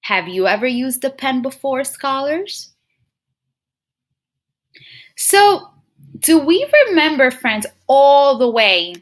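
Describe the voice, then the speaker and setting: A woman teacher reading a picture book aloud to young children, expressively, pausing to muse and put questions straight to her listeners. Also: sits high. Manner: expressive, addresses children directly with frequent questions and musing hums.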